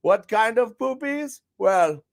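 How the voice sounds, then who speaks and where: Man, stream host, high-pitched, gravelly, hammy, playful. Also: expressive, slow, heavy background noise. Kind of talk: casual live-stream chat.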